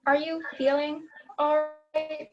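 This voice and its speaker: A woman's voice: high voice, flat delivery, takes it slowly. A teenage girl playing a practical, patient stage manager in a comedy, matter-of-fact, on lo-fi call audio. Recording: dull lo-fi video-call audio.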